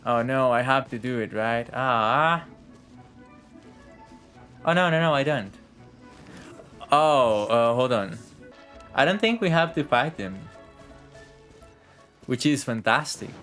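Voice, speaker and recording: A man's voice: mid-range voice, expressive, slow, pauses often, a bit bright. Chatty man streaming a game. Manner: chatty, rambling to himself, swearing. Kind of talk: casual live game commentary. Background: faint game music.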